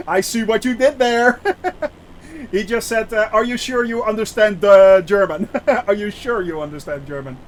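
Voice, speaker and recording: A man's voice: sits high, measured pace, long pauses, a little hiss or hum. A man with a Dutch accent, chatting casually on a flight-sim live stream, full of filler sounds and answering chat.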